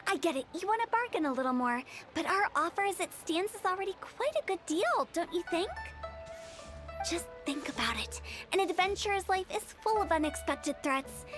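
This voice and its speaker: A woman's voice: very high voice, expressive, moderate pace, over music. A woman playing an eccentric, mischievous young funeral director in a video game, with a theatrical, teasing, persuasive delivery. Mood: pushy sales pitch. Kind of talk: video game character acting.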